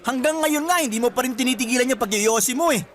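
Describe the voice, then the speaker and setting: A man's voice: very high-pitched, quick pace, fairly bright tone, slight background noise. A man playing an arrogant graduate in his twenties, a scornful, taunting younger brother with a Filipino accent in a radio drama. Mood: taunting anger. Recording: music bed under the voice.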